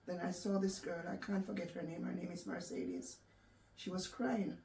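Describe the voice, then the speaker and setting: A woman from Jamaica with a Jamaican accent, recounting her life story in a soft, reflective way with halting restarts. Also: on the low side, steady tone, gravelly. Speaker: interview guest. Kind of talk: personal storytelling in an interview. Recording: echoey room, distant mic, faint background noise.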